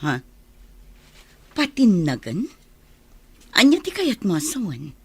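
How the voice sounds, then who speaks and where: A woman's voice: mid-range voice, huge pitch swings, slow pace, slightly rough, no echo, exaggerated voice. An actress playing a concerned, encouraging woman mentor in a radio drama, with an Ilocano accent. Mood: curious, encouraging.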